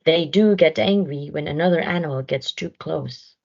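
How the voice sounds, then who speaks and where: Lady reading a kids story, low husky voice, really animated, bit muffled recording. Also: normal speed. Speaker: storybook reader. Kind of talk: expressive children's read-aloud narration.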